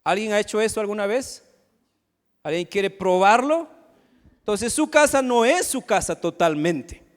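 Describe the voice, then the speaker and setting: A man's voice: high voice, animated, a little slow. A man from Ecuador, preaching a sermon as pastor and firing rhetorical questions at the congregation. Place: church sanctuary. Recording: long hall reverb.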